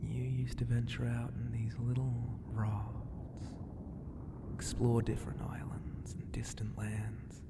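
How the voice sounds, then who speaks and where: A man voicing a flirty, mighty ocean god in an ASMR roleplay, teasing and grandiose, with a breathy voice that often slips into whispers. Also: medium pitch, flat delivery, slow. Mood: amused, flirty. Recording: noisy ambience with long reverb.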